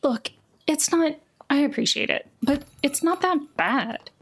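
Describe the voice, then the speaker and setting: A teenage girl in a tabletop adventure, acted by a woman, speaking in a raised, youthful pitch. She is guarded and a little defensive but friendly, with loose, halting, slangy phrasing. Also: lively intonation, a bit bright. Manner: informal, incredulous, halting teen talk.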